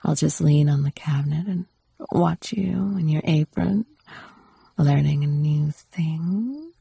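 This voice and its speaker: Possessive yandere housewife, played by a woman for ASMR roleplay: lowish, very breathy, whispery, sweetly coaxing and instructive. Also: takes it slowly, no echo, full character voice. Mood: doting, coaxing. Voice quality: breathy, often slipping into whisper. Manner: coaxing, doting, softly instructive. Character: possessive, doting yandere wife training her captive husband.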